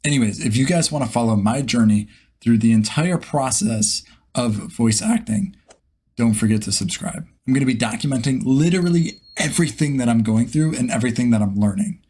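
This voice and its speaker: A man's voice: medium pitch, animated, a little fast, dark tone, slightly rough. A man talking casually and informally as a vlog host, thinking aloud in a self-deprecating way. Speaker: host, beginner voice actor. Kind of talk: casual vlog chat to camera.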